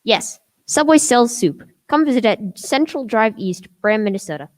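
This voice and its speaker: A woman's voice: a little high, animated, normal speed, lightly acted. A young woman playing a keen sandwich seller in an amateur scripted radio ad.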